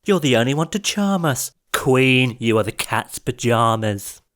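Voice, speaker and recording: A man voicing a talking magic mirror in a storybook, grand and declamatory, flattering yet blunt. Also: mid-range voice, measured pace.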